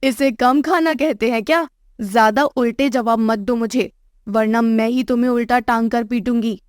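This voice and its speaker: A woman acts out a middle-aged mother-in-law of a rich house, haughty and scolding. Her voice sits fairly high and is closely recorded with no room sound. She nags and insults in an exasperated cartoon-story manner. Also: steady tone, normal speed, no room sound, studio quality, close to an everyday voice. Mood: angry nagging. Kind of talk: Hindi moral-story cartoon dialogue.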